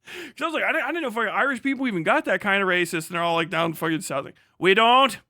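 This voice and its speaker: High-pitched American man ranting, bright and clear. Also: rapid-fire, dry recording, studio quality. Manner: foul-mouthed, animated, mocking. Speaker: livestream host. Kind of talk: ranting live stream commentary, casual.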